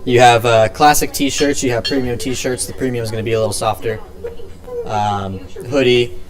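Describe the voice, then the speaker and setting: A man co-hosting a live show, giving a salesy pitch for merchandise. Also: fairly even intonation, normal speed. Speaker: co-host. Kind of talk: merch plug.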